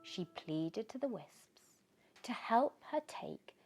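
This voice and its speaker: A British woman reading a children's bedtime story aloud in an expressive, dramatic way, often dropping into hushed tones. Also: medium pitch, slow pace, breathy edge. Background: background music.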